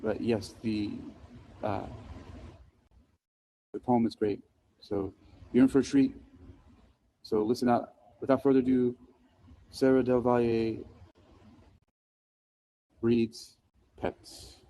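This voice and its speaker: A man speaks as a host introducing a reading, with a very soft, mellow tone. His delivery is casual and halting, often pausing to find the next word. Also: mid-range voice, little pitch movement, rough recording.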